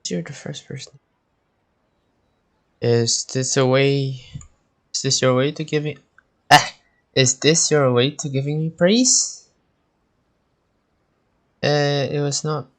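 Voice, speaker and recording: Slow-talking man streaming a game. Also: mid-range voice, steady tone, fairly bright tone. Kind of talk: casual livestream reactions and reading game text aloud.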